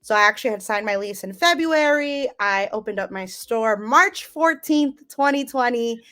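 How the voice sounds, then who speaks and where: A young woman from the United States telling her life story as an interview guest, relaxed and rambling with lots of ums. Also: fairly high pitch, normal speed. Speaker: guest, business owner.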